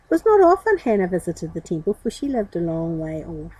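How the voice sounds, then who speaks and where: A woman's voice: fairly low pitch, very animated, fairly quick, mellow, breathy edge, poor audio. A woman storyteller reading a children's story expressively, with informal asides.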